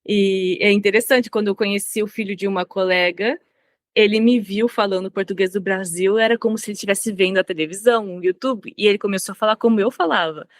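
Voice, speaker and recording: Brazilian woman talking it through slowly in an interview, mid pitch, dry close sound. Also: no room sound. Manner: reflective, with frequent restarts. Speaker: guest, language consultant. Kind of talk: conversational interview.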